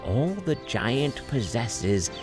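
A man's voice: mid-range voice, animated, music in the background, pitched above the performer's own voice. A man voicing a mysterious fairy lady in a storybook, solemn and grave as she gives counsel. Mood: solemn, urging. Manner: grave, deliberate.

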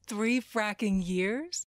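A woman playing a brash, gruffly affectionate space outlaw sister in an audio drama. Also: mid-range voice, dry recording, clean studio sound.

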